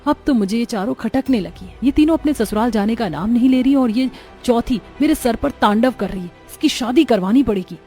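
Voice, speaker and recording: A woman voicing a resentful, sharp-tongued young married sister-in-law in a cartoon story, in a complaining and scolding manner. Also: fairly high pitch, expressive, a little fast, hardly any pauses, natural voice. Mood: grumbling. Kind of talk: Hindi cartoon story dialogue. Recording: music behind the voice.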